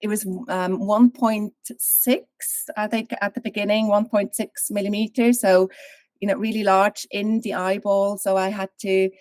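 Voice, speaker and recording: A woman in her thirties, originally from Switzerland, speaking as an interview guest and telling a personal story in a candid, animated way over a video call. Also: medium pitch, measured pace, no room sound.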